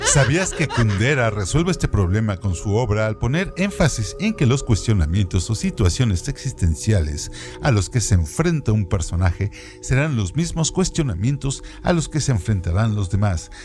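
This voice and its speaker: A man reading scripted literary radio narration in a formal, measured way. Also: lowish voice, very animated, music in the background.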